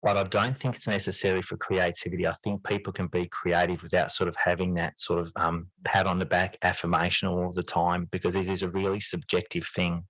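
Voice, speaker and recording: A middle-aged man with a fairly low voice answers as a podcast guest. The sound is very dry, with little room in it. He talks reflectively, circling back on words and softening his points as he goes. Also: level tone, fairly quick, few pauses, dry recording, rough recording. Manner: hedging, frequent "sort of", repeats words. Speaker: podcast guest, teacher and fiction writer. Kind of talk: reflective interview conversation.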